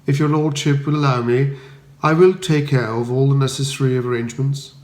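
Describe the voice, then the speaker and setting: A man acting an English valet, measured, courteous, deferential, unflappable, formal, roomy recording, comic audiobook. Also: medium pitch, fairly even intonation, darkish tone, lightly acted. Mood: composed, deferential.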